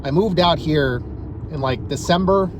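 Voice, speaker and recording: An American man from New York state giving a casual opinion monologue as an online commentator, conversational and often pausing mid-thought. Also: on the high side, background noise. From New York state, United States.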